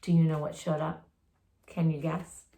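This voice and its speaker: A woman's voice: sits low, little pitch movement, normal speed, vocal fry, mellow, reverberant. A woman reading a children's storybook aloud like a teacher, expressively, talking directly to her young listeners.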